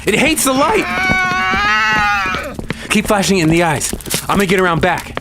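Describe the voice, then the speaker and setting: A man's voice: high voice, very animated, normal speed, heavy background noise. A man playing a brash, foul-mouthed young cryptid hunter with slangy swagger in an audio drama. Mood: urgent.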